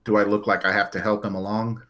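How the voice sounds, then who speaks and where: A man's voice: on the low side, little pitch movement, fairly bright tone. A man playing in a tabletop game, speaking carefully and casually. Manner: unhurried, deliberate. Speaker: player. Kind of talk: casual chat.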